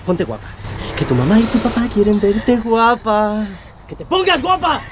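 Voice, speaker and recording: A cruel, taunting killer in a true-crime audio drama, speaking. The voice sits fairly high and leaps about in pitch, menacing and derisive, with clear ambient noise around it. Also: male voice, huge pitch swings, moderate pace. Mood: furious. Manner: taunting, menacing, swinging into shouts. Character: sadistic, mocking male serial killer taunting his victim.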